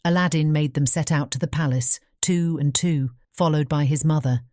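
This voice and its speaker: A woman narrating a scripted fairy tale as an audiobook, in a measured storytelling manner. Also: low voice, a little mellow, no room sound, studio quality.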